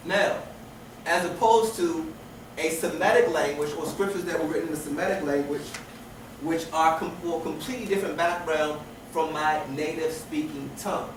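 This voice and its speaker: A man's voice: a little high, normal speed, noisy background. Man lecturing from far off in an echoey room. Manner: repeats and restarts phrases, asks rhetorical questions. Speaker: teacher. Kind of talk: classroom lecture.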